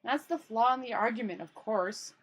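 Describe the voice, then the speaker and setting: A woman's voice: a little high, normal speed, slightly rough. A woman voicing an irritable, hopeless male failed wizard in a grumbling, fretful storybook read-aloud. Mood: miserable.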